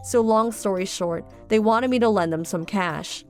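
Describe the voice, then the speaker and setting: A woman's voice: medium pitch, normal speed, faint music behind. A woman reading scripted first-person story narration as the narrator of a dubbed comic.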